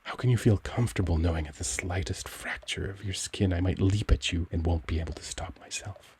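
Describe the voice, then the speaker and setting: A man playing a gentle, cultured young vampire in an intimate ASMR roleplay, speaking formally and tenderly as he struggles for control. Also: low voice, fairly even intonation, a little fast, a little hiss or hum, natural voice. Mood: anguished, tender. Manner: formal, courtly, tender, brooding.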